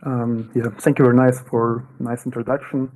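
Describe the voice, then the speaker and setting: Young guy from kazakhstan presenting research on a video call, mellow warm tone, a bit halting. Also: mid-range voice, little pitch movement, rough recording. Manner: careful, slightly halting delivery with fillers. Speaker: seminar speaker. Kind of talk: academic presentation. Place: indoor room.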